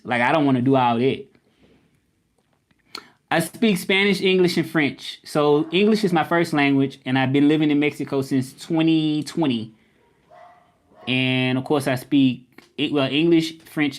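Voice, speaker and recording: A man hosting a casual live stream, chatting loosely with viewers and often repeating himself. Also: on the high side. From Memphis, United States. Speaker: livestream host.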